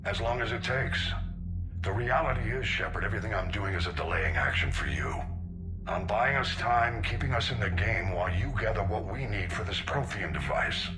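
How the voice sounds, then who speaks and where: An actor playing a battle-weary older admiral in a video game, blunt, measured and authoritative. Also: male voice, fairly low pitch, animated, over music, lightly acted. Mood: resolute. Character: grave, battle-weary senior male military admiral. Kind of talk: dramatic video game dialogue.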